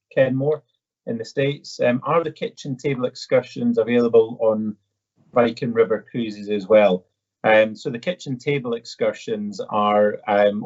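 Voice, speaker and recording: Low-voiced Edinburgh Scottish man. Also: a little mellow. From Edinburgh, Scotland. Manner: hesitant, polite, conversational. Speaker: presenter answering viewer questions. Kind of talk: casual live question-and-answer presentation. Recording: dull, slightly muffled audio.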